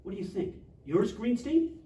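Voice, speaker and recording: An actor playing a sarcastic, confrontational teacher of fifty in a live comedy table read. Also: male voice, high-pitched, very animated, moderate pace, mellow. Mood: suspicious, demanding. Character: hard-ass, sarcastic male high school teacher, fifty. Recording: echoey room, distant mic, faint noise.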